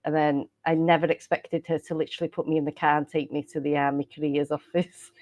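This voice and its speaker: A woman's voice: deep voice, little pitch movement, fast talker, darkish tone, dry recording. A Welsh woman, a native Welsh speaker, chatting as a podcast guest, rambling and laughing often. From Wales. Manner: giggly, rambling with frequent laughs and restarts. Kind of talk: casual interview chat.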